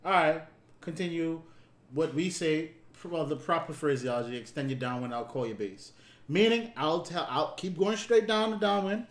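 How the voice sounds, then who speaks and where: A man giving an informal, halting reaction commentary, demonstrating controller phraseology as he explains it. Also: on the high side, lively intonation. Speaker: air traffic controller reacting to a video.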